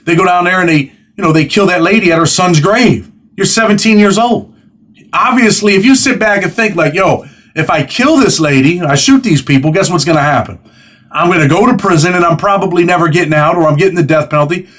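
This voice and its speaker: Forties guy hosting, talks quick and a bit high, loose street slang. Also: a bit bright. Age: 40s. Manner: fast, conversational, streetwise slang. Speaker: host. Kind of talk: casual interview.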